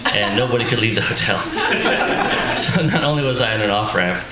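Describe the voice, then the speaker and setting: Worn-out deep male voice, joking at himself, roomy echo, noisy hall. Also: animated, moderate pace. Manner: self-deprecating, chuckling asides. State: strained, tired voice. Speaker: author at a reading. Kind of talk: casual introduction to a reading. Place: live reading venue with audience. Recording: echoey room, noisy ambience.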